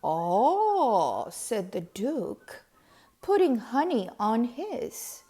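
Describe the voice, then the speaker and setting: A woman reading a children's picture book aloud as a storyteller, with deliberate, measured phrasing. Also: medium pitch, lively intonation, dark tone.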